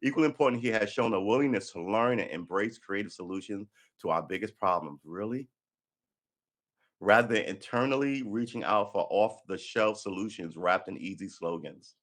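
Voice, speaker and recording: A New man with a fairly low voice reads a news piece on a live stream. He keeps stopping to fire off sarcastic, profane commentary in a heated tone. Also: normal speed, fairly bright tone. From New York City. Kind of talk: reading an article aloud with running commentary. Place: indoor room by a window.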